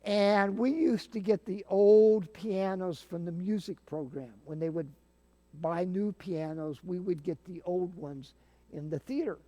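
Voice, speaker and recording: A man's voice: high-pitched, unhurried, dark tone. An older man casually explaining and demonstrating things as a guest. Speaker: guest, theater sound-effects practitioner.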